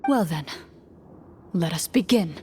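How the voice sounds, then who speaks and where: A woman playing a composed, formal young hunter who narrates as a game master in a video game, measured and dramatic. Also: on the low side, animated, warm tone, a little hiss or hum. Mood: solemn. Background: background music.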